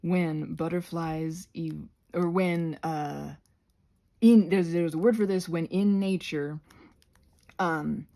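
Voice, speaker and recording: A woman voicing a chatty, crafty goblin with a gravelly, husky voice, rambling haltingly through an ASMR craft session. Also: fairly low pitch, fairly even intonation, mellow. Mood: chatty, earnest. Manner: rambling, halting, goes off on tangents.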